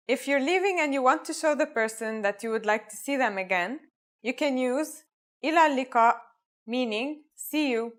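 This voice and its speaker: A woman with a fairly high voice narrates a scripted language lesson. She presents in an orderly, instructional way, setting out each phrase in turn. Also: a bit bright. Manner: instructional, clearly structured phrase by phrase.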